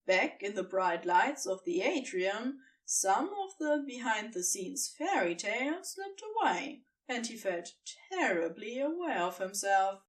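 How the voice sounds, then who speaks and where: A woman narrating fiction from a script in an expressive storytelling style. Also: mid-range voice, measured pace, few pauses, recorded from a distance.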